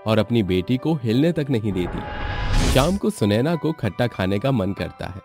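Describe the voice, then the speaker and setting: A man's voice: fairly low pitch, big pitch swings, moderate pace, music in the background. A man narrating a scripted family story as a storyteller.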